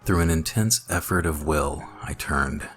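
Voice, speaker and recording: A man narrating a scripted first-person horror story in a measured, dramatic way. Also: very deep voice. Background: music.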